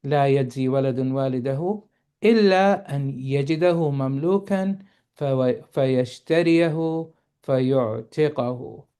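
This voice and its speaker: A man teaching a reading lesson, explaining slowly and patiently and checking often that his students follow. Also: mid-range voice, dark tone, clean tone. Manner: patient, explaining, checks understanding with "right?" and "okay?". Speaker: Arabic teacher.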